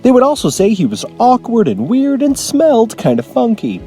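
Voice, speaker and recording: A man acts out an earnest, awkward storyteller in a comedy storybook segment. The voice sits very high and is mellow, with extremely animated swings. The reading is sing-song and simple. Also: moderate pace, faint music behind, full character voice. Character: earnest, awkward male amateur children's storyteller. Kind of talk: parody storybook narration.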